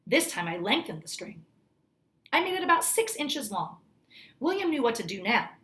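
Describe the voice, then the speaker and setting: A woman reading a children's story aloud like a teacher, expressively, pausing to explain things to young listeners. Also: fairly high pitch, sing-song, sharp tone. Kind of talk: children's story read-aloud with brief asides.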